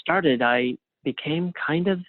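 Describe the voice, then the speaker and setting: A man's voice: fairly high pitch, takes it slowly, no room sound. A middle-aged man talking casually as an interview guest, a playwright with a self-deprecating, anecdotal way of talking. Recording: narrow phone-like line.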